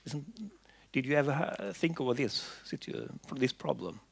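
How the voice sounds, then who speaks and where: A man's voice: medium pitch, expressive, moderate pace, gravelly, slightly rough, no echo. A man moderating a conference panel, asking questions with many fillers. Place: conference hall.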